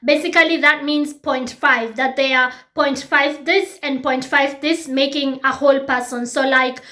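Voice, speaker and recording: A woman in her thirties with a Kenyan accent speaks to her viewers in a reflective, personal way. Her voice sits high and sounds bright and forceful. She talks earnestly and often repeats a phrase to press a point home. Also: steady tone, a little slow. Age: 30s. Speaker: YouTube host. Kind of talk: reflective personal vlog talk.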